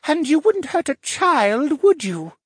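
A man voicing a vengeful wraith in an audiobook, with a breathy, screeching, wailing voice and drawn-out taunts. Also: very high-pitched, very animated, dry recording, studio quality, voice pushed up, exaggerated voice. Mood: sly taunting.

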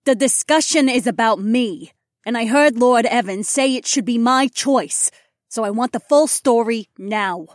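A proud, sharp-tongued she-wolf leader played by a woman in a fantasy audiobook. She speaks in an assertive, articulate way, with a medium pitch and a close, dry sound. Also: unhurried, no echo, natural voice. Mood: indignant, demanding. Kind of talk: audiobook character dialogue.

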